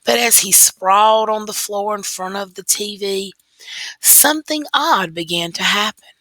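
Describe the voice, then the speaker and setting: A woman reading a children's story aloud in a deliberate, expressive way, stressing key words. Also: medium pitch, no echo, low-quality recording. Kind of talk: scripted story read-aloud.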